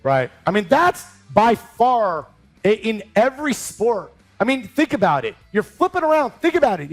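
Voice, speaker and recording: A man hosting an interview, emphatic and rambling, with lots of energy. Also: sits high. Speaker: show host.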